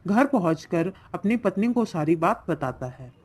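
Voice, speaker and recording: A woman's voice: fairly low pitch, big pitch swings, normal speed, darkish tone. A woman reading scripted narration as the storyteller of a cartoon fable.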